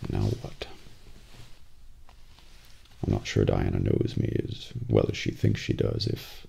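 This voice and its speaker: A man playing a composed, guarded professional assassin in a soft-spoken ASMR role play, with a measured, deadpan delivery and a breathy, gravelly voice. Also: a bass voice, full character voice. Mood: guarded, wry. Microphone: close binaural microphone.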